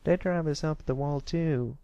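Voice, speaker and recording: A man's voice: on the high side, measured pace, warm tone, smooth, no echo, dull audio, pitched above the performer's own voice. A man voicing a friendly, eager young country girl pony with a folksy, plain-spoken manner, in a story reading. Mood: exasperated.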